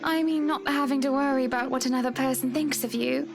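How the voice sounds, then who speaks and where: A woman voicing a polite, wistful, quietly discontented wife in a visual novel game. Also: high-pitched, steady tone, fast talker. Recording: game voice over background music.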